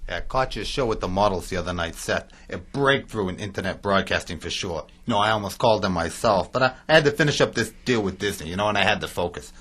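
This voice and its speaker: Fast, husky male Hollywood agent. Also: sits low, lively intonation, fairly bright tone. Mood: glib. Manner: glib, casual. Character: slick, name-dropping male Hollywood talent agent. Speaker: actor. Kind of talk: satirical audio drama acting.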